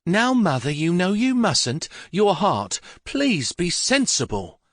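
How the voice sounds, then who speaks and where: A man's voice: high voice, big pitch swings, slow pace, fairly bright tone, no room sound, pitched above the performer's own voice, exaggerated voice. A man puts on a flustered daughter-in-law in a storybook, anxious and fluttery as she coaxes. Her voice is set well above the reader's own, and the recording is dry. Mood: coaxing.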